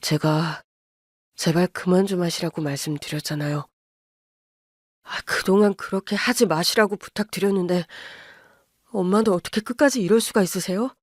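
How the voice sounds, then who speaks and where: A woman voicing a mild young husband who speaks low, measured and firm, holding back anger. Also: no echo, clean studio sound, natural voice. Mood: exasperated.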